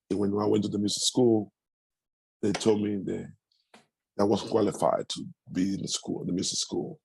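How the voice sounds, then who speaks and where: A middle-aged man from Cuba with a Cuban Spanish accent, a Spanish speaker answering interview questions haltingly, with frequent restarts. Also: on the low side, creaky, mellow, breathy edge, no room sound, low-quality recording. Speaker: musician guest.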